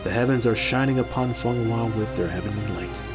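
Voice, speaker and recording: A man's voice: medium pitch, fairly quick, lightly acted. Man acting a confident, florid fighter, music behind. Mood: awed. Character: confident fighter ally, reverent commentator. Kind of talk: audio drama acting.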